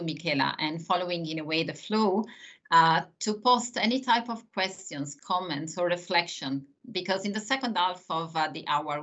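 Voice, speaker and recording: A woman's voice: fairly low pitch, fairly bright tone. A woman hosting a webinar over a video call, introducing her guest with a hesitant delivery full of fillers. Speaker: host. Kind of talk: webinar moderation.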